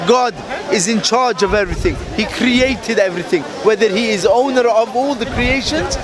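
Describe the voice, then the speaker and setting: A man with a high voice explains his position earnestly and insistently during an outdoor street debate. A loud crowd and traffic are heard behind him. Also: normal speed. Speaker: debate opponent. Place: outdoor public speakers' corner.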